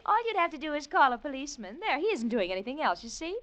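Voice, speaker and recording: A woman's voice: high voice, sing-song, quick pace, a bit bright. An actress playing a fussy, coquettish American lady tourist, gushing and disdainful, in 1940s radio drama style.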